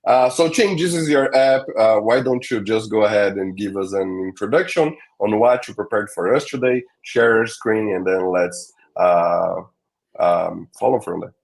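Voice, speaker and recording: A man's voice: fairly low pitch, normal speed, creaky, low-quality recording. A man hosting a technical webinar, talking through slides with frequent fillers and "all right?" check-ins. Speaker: webinar host. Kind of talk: presenting a tech webinar.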